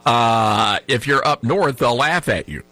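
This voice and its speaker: A man with a mild Tennessee twang hosting a talk radio show, chatting in an animated, folksy way. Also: medium pitch, unhurried, no echo. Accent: Southern US, Tennessee. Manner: animated, folksy, laughs easily. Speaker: radio host.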